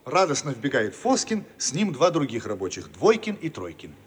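A man's voice: mid-range voice, wildly swooping pitch, normal speed, slightly rough. A man narrating a radio play, reading stage directions in a vivid, theatrical scripted style. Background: prominent music.

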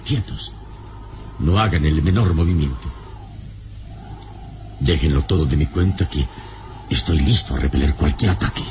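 Very deep, commanding adventurer hero. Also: male voice, darkish tone. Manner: solemn, measured, authoritative. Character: commanding, vigilant adventurer hero, calm under danger. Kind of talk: old radio serial acting. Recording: noisy old radio serial recording.

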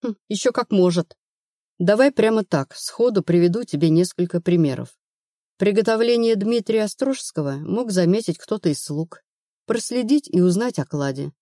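A woman narrator playing a brisk, rational female investigator in an audiobook, blunt and assured. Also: medium pitch, animated, warm tone, no room sound, studio quality, close to an everyday voice. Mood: insistent. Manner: matter-of-fact, assured.

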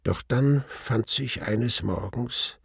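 An actor playing a sober male chronicler, narrating a radio play in with a gravelly, husky voice. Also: mid-range voice, takes it slowly, dry recording, studio quality, exaggerated voice. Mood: measured. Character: sober male chronicler recounting events from records.

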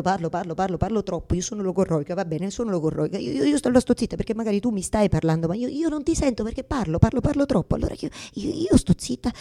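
An actress playing a bitter, self-mocking woman who argues with God in a halting, repetitive theatrical monologue. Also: mid-range voice, mellow. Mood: agitated. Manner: repetitive, halting, confessional, swinging from whispers to outbursts. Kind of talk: theatrical monologue, radio drama.

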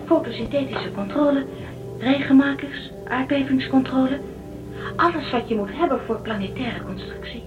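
An actress playing a plucky, excitable young woman fleeing through a spaceship in a Dutch radio drama, eager and given to exclamations. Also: sits high, moderate pace, distant mic, phone-quality sound, over music, lightly acted. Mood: awed, eager.

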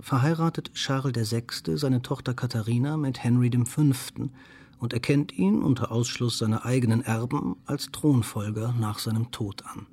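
A man playing a reflective historian in a radio play, speaking in a measured, formal way. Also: mid-range voice, darkish tone, husky.

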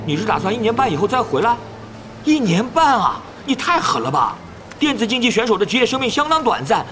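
An actor playing a chatty, nosy young male pro gamer who pesters rapidly in an audio drama. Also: sits high. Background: background music.

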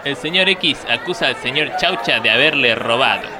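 A man's voice: medium pitch, lively intonation, normal speed, natural voice. An Argentine man playing a deferential, earnest prosecutor in a comic radio play, speaking with mock solemnity. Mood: formal, earnest. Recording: noisy, with crowd behind.

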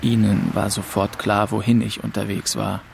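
An actor playing a weary, haunted young man who narrates his story in a measured, brooding way. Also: fairly low pitch, little pitch movement, vocal fry, slight background noise. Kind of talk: scripted first-person audio drama narration.

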